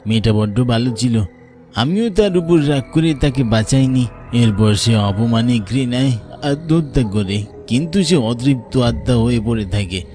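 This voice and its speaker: A man plays the ghost of a village tea seller, grave and full of warning. He has an eastern Bengali accent and a warm, dark tone at medium pitch. It is horror cartoon acting over faint music. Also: lively intonation, moderate pace, lightly acted. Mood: regretful.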